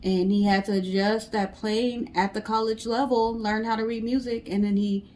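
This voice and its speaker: A woman's voice: a little high, little pitch movement, normal speed, a little mellow, slight background noise. A woman co-hosting a storytime show in casual couple chat, conversational, asking questions and often saying "like". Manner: conversational, asks questions, frequent "like".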